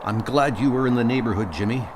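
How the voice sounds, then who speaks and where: A man's voice: mid-range voice, fast talker, very noisy, close to an everyday voice. A man voicing a resilient colony leader in a comic audio drama, familiar and plain-spoken. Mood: stubborn, familiar. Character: rugged, resilient male colony leader, captain's old friend.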